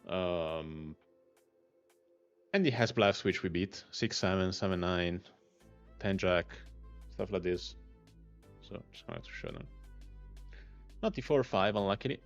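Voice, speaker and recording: A man's voice: low-pitched, unhurried, gravelly, pauses often, dull audio, soft background music. Italian man thinking aloud over poker hands. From Italy. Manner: thinking aloud, mutters hand names, bursts of excitement. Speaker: poker streamer. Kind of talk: live poker commentary.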